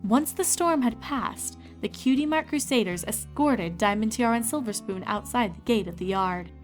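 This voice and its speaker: A woman's voice: a little high, moderate pace, faint music behind. A woman reading scripted story narration as the narrator of a fan fiction.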